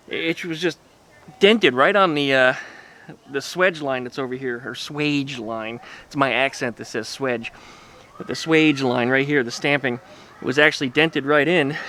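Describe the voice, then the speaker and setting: A man casually showing his car restoration work outdoors by his garage, chatty and relaxed, with lots of fillers. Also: mid-range voice. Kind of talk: casual workshop vlog.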